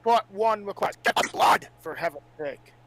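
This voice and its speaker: A man's voice: high voice, moderate pace, fairly bright tone. A brash, sweary man bantering heatedly as a panelist on a casual podcast.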